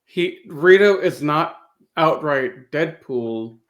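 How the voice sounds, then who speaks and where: A man's voice: fairly high pitch, expressive, slow, fairly bright tone. A man hosting a podcast, chatting casually through a review in a thoughtful, appreciative way and rephrasing as he goes. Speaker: podcast host.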